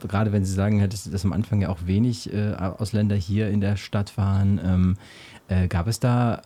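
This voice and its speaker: A man's voice: low voice, fairly even intonation, normal speed, dark tone. A man asking interview questions, hesitant with many fillers and restarts.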